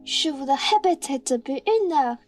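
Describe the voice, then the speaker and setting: A woman's voice: sits high, expressive, a little slow. A young woman playing a lovestruck, headstrong young woman who pleads and insists in a comic radio play. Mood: indignant, insistent. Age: young adult. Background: music.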